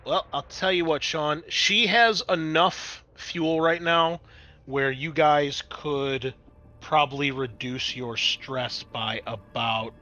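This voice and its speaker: A man acts as game master with a sharp, bright voice. He moves between narrating scenes and explaining rules, keeping it conversational and explanatory. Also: a little high, takes it slowly, lo-fi sound, soft background music. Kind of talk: narrating and refereeing a tabletop game.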